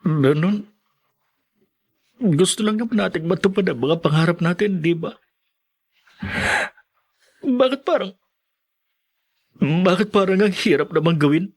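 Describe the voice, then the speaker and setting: A man's voice: high-pitched, lively intonation, a little slow, long pauses, darkish tone, exaggerated voice. A man playing a struggling, anxious young student, earnest and hesitant, in a Filipino radio drama. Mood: discouraged.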